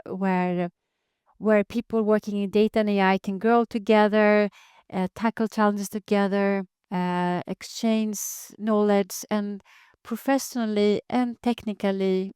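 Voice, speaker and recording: Earnest woman in her thirties, grew up in Greece, smooth clear voice, dry close sound. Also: mid-range voice, fairly even intonation, unhurried, darkish tone, no room sound. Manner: earnest, many fillers and restarts. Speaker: community founder, data engineer. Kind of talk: interview answers.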